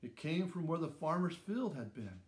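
A man in his sixties reading a children's story aloud in simple, measured phrases with dramatic emphasis. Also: on the high side, sing-song. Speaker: storybook reader. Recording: roomy echo around the voice.

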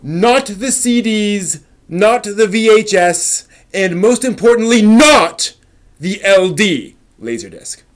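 A middle-aged man performs a dramatic reading in a notably high voice. He goes slowly, stretching and stressing words with overblown, impassioned theatricality. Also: lively intonation. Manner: impassioned, overwrought, emphatic delivery with drawn-out words. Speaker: host reading an old forum post aloud. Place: small home room with shelves, indoors.

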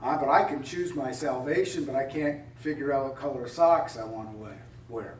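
A man's voice: medium pitch, moderate pace, a little hiss or hum. A middle-aged man, a pastor, preaching a sermon in a conversational, wry way with many rhetorical questions. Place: church sanctuary. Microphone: mic at a distance on a pulpit stand. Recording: roomy church echo from a distance.

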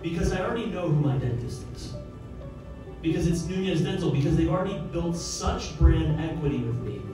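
A man's voice: fairly high pitch, lively intonation, brisk, distant mic. A man in his thirties speaking on a panel into a handheld mic, fired up and emphatic, in a large indoor office event space. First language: English. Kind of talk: energetic panel talk. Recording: roomy, from afar, with music.

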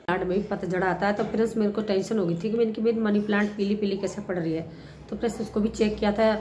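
A middle-aged woman hosting a gardening vlog, hoarse from a cold and chatty, talking to viewers on a phone held at arm's length on her rooftop terrace. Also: medium pitch, fairly even intonation, fast, darkish tone, slight background noise. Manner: chatty, repeats "friends" often. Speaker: gardening channel host. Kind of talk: casual vlog talk to viewers. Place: home rooftop terrace with plants, outdoors.

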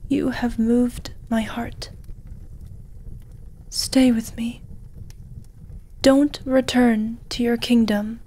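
A woman voicing a gentle, seductive dragon lady in a fantasy ASMR roleplay, soft, breathy and half-whispered, with an intimate, tender manner. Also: a little high, level tone, very slow, pauses often. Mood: tender, pleading.

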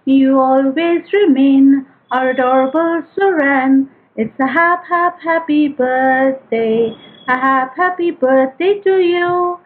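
A woman's voice: high voice, slow pace. A woman from India singing a playful birthday jingle and sending a fan greeting in a casual video message. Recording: tinny phone-like audio with faint music.

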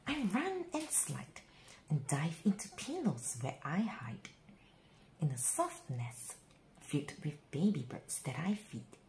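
A woman reading a children's bedtime story aloud, with expressive, dramatic phrasing and drawn-out words. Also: medium pitch. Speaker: picture book reader. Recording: roomy echo, faint background noise.

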